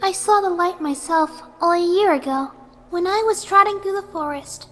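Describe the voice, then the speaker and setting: A woman voicing a gentle, soft-spoken young female pegasus pony who is serenely deranged, in a horror fan fiction reading. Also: extremely high pitch, voice pushed up. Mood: serene rapture. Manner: gentle, sweet, unhurried while menacing. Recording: music behind the voice.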